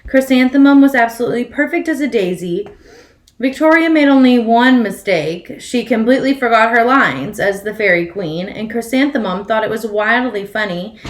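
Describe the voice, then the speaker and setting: A woman reading a children's picture book aloud expressively as a storyteller, putting questions to her listeners. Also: on the high side. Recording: echoey room.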